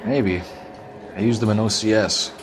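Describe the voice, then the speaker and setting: An actor playing a calm, methodical detective in a video game cutscene, speaking in a level, steady way. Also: male voice, lowish voice, normal speed, noisy background, natural voice.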